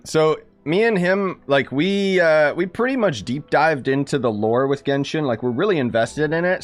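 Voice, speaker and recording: A young man streaming gameplay, talking casually and a little sarcastically about the story. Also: mid-range voice. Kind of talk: gameplay commentary. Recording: game music behind.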